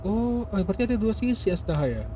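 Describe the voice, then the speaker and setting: A man's voice: sits high, lively intonation, rapid-fire, dark tone, a little hiss or hum. A man with an Indonesian accent reacting casually as he streams a game.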